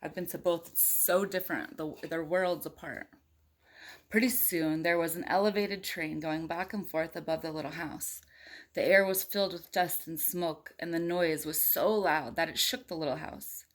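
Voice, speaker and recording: A woman's voice: fairly low pitch, fairly even intonation, normal speed. Woman reading a storybook aloud to kids. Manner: conversational, engaging, asks the listeners questions. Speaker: storyteller reading a picture book aloud to young children. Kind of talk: read-aloud storytelling with chatty asides to children.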